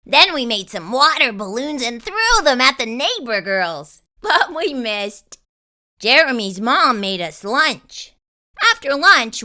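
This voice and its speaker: Very bright, high-pitched woman storyteller. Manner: animated, deliberate, emphatic phrasing. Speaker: children's storybook reader. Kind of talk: expressive read-aloud narration for kids.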